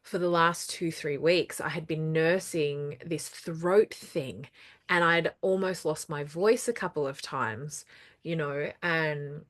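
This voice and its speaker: A woman with a somewhat low-pitched voice talks straight to her viewers, casual but earnest. She leans on repeated words to drive points home and sounds reassuring. A slight lingering cough from recent throat trouble stays with her. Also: steady tone, slow pace, fairly bright tone. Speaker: numerology channel host. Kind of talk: casual solo talk to camera.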